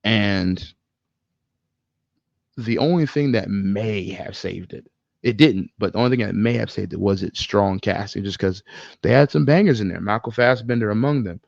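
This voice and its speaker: Gravelly man in blunt casual chat. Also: on the low side, moderate pace, darkish tone, no echo, muffled recording. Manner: blunt, opinionated, slangy and profane. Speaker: podcast co-host. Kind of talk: casual film discussion.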